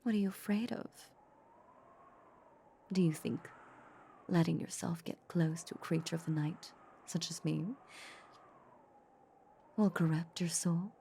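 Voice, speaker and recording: A woman playing a seductive, possessive female vampire in an intimate roleplay audio drama, teasing and condescending in a breathy half-whisper. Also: fairly low pitch, fairly even intonation, a little hiss or hum. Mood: taunting, possessive. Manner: slow, teasing, condescending purr. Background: soft ambient sound effects.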